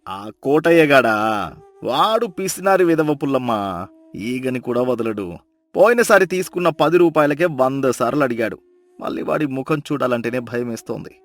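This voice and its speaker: A man's voice: medium pitch, expressive, normal speed, close to an everyday voice. A man playing a meek, weary, poor woodcutter in a folk tale, pleading and grumbling.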